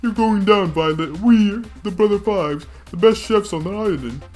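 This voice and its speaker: A man's voice: high voice, moderate pace, darkish tone, soft background music, voice pushed up, lightly acted. A man voicing blustering but cowardly British chef brothers in a theatrical British accent, reading a story game aloud. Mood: boastful. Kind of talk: character voices while reading a game's story aloud.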